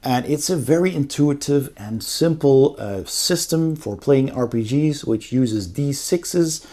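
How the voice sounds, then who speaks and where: A man's voice: mid-range voice, animated, a little slow, mellow. A man giving a casual, conversational walkthrough as a game reviewer.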